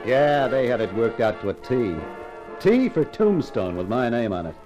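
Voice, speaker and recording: Male lead, acted: tough wisecracking gumshoe, animated pitch, slangy and sardonic, vintage radio thriller. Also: moderate pace, loud music behind the voice, close to an everyday voice. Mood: rueful narration. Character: wisecracking hardboiled American male private detective, weary and defiant. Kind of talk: 1950s radio crime drama acting with first-person narration.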